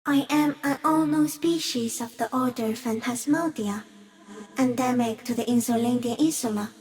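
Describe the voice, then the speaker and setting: A woman voicing an ancient, shy giant stick insect in a video game, gentle and solemn, speaking poetically with hushed, eerie wonder. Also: on the high side, moderate pace, lightly acted. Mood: solemn, awed. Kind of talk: slow poetic game monologue.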